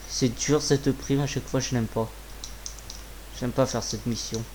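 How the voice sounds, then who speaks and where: A slightly hoarse man with a sore throat giving casual, informal gameplay commentary, muttering to himself and swearing. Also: mid-range voice, steady tone, slow pace, a little mellow, a little hiss or hum. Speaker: gaming video creator.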